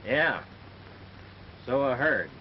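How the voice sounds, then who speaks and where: An American actor playing a stern, folksy, skeptical sheriff in a 1930s western film. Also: male voice, mid-range voice, big pitch swings, very slow. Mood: stern, steady. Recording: dull, hissy 1930s film soundtrack.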